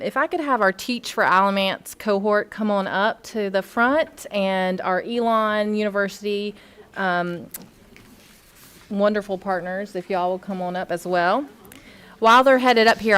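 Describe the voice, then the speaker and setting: A woman's voice: mid-range voice, a bit bright, clean tone. A woman presenting recognitions at a school board meeting in an auditorium, friendly and formal, with frequent fillers and restarts. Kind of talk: formal public address with casual asides.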